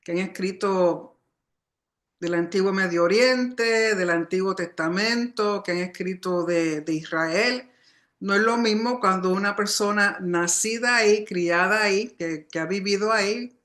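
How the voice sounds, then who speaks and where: Female study teacher, unhurried didactic delivery, clear forward brightness in the tone. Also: medium pitch. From Puerto Rican family, born outside the island. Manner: didactic, explanatory, often reminding listeners. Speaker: religious teacher. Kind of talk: Torah study lecture.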